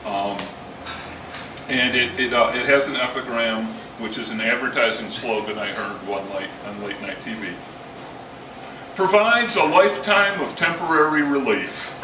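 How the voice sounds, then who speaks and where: An older man giving a live poetry reading with casual introductions, in a wry, dryly humorous manner. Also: high-pitched. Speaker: poet reading own work. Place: indoor coffee house reading. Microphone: stand microphone, recorded by camera from a distance. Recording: roomy, distant mic, noisy venue.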